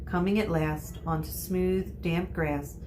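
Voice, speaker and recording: A woman teacher reading a children's novel aloud in a deliberate, explanatory way. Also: on the low side, steady tone, background noise. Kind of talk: storybook read-aloud.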